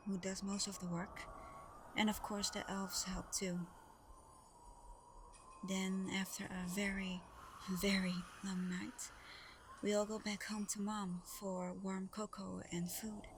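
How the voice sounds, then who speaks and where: Woman to play Santa's grown daughter: gentle, shy, affectionate, hushed ASMR delivery with even intonation, over noticeable background ambience. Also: medium pitch, takes it slowly, close to an everyday voice. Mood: warm, reminiscing. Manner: soft, tender, hesitant, partly whispered. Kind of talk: intimate ASMR roleplay, soft-spoken and breathy. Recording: clearly noisy background ambience.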